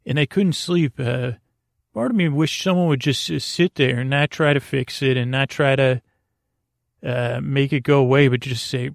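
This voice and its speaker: A man hosting a bedtime podcast, talking close to the mic in a meandering, hesitant, reassuring and gently goofy monologue. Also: mid-range voice, moderate pace, creaky, no echo. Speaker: podcast host. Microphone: close to the microphone.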